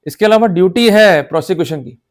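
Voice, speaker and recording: A man's voice: high-pitched, expressive, fast talker. A man with an Indian accent giving an instructional law lecture, emphatic and exhorting, often checking that listeners follow. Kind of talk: instructional law lecture in Hindi-English mix.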